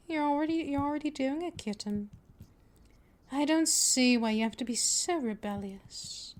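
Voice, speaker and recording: A woman playing a patient, possessive vampire mistress in an ASMR roleplay, with a deliberate, teasing, coaxing delivery. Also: on the high side, a little mellow, natural voice. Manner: slow, deliberate, coaxing, with drawn-out pet names.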